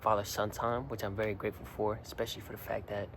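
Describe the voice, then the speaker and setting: A young man in casual talk to the camera, slightly hoarse from singing the night before, rambling earnestly and repeating his words. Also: fairly low pitch, little pitch movement, fast, fairly bright tone, slight background noise. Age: young adult. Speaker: vlogger. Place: outdoors, hot and sunny.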